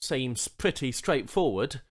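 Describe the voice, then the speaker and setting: A man's voice: mid-range voice, big pitch swings, takes it slowly. A man voicing a friendly, patient human spacefarer with a plain-spoken, tactful manner in audiobook dialogue. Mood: curious, polite.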